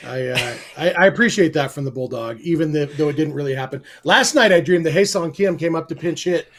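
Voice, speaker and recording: A man's voice: a little high, fairly quick, a bit bright. A man co-hosting a sports podcast in casual chat, explaining points in detail with a dry sense of humor. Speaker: podcast co-host.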